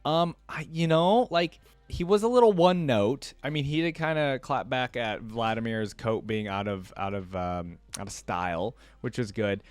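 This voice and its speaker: A man's voice: mid-range voice, normal speed, few pauses, clear, resonant tone. A man hosting a reaction video, talking casually and thinking aloud in slangy, conversational commentary.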